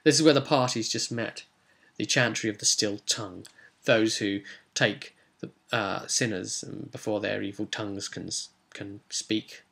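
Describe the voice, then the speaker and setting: A man's voice: fairly low pitch, unhurried, vocal fry, a bit bright, slightly rough. A man giving a casual, rambling recap of a tabletop role-playing session in an informal way, as the game master.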